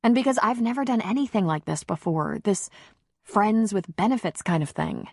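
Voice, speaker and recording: A nervous, smitten heroine, played by a female narrator in a romance audiobook. She speaks softly and hesitantly, with a breathy, husky tone that sounds close and dry. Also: medium pitch, lively intonation, normal speed, no echo, voice pushed up. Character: nervous, breathless woman, soft-spoken and smitten.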